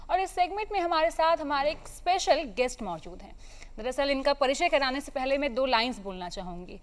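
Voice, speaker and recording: A woman's voice: high voice, animated, a little fast. A woman hosting a television show in a formal, polite presenting style.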